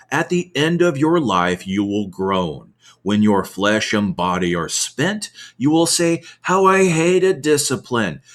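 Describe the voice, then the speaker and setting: Slow, expressive man reading scripture. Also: mid-range voice. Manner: measured, deliberate phrasing with expressive emphasis. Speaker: reader of scripture.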